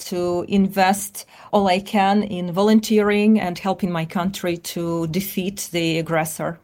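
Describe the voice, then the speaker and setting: Ukrainian-accented woman interviewee, mid pitch, careful hesitant English. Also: a little mellow. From eastern Ukraine. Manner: careful, hesitant, many "uh" pauses. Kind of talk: interview answers.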